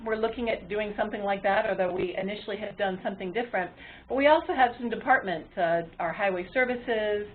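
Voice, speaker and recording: A woman presenting a webinar as its moderator, explaining to an online audience in a halting delivery with frequent ums and uhs. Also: mid-range voice, a little hiss or hum. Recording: muffled, phone-like webinar audio line.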